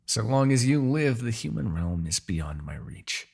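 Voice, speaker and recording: A man playing a proud, vengeful demon king in a dramatic fantasy audio roleplay, with a husky, gravelly voice and a theatrical, gloating manner. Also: lowish voice, sing-song, unhurried, exaggerated voice. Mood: scornful, pained. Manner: theatrical, gloating, with sighs and dark chuckles.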